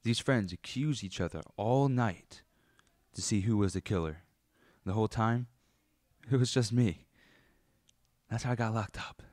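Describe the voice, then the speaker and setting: A man's voice: on the low side, unhurried, pauses often, a little mellow, no room sound, studio quality, close to an everyday voice. A man playing a locked-up killer who narrates his murders in a radio drama. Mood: grim storytelling.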